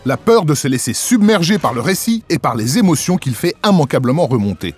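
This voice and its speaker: A man's voice: a little high, very animated, brisk, a little mellow, slightly rough. A man reading a scripted narration as the voice of a magazine show. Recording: voice-over with faint music. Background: faint background music.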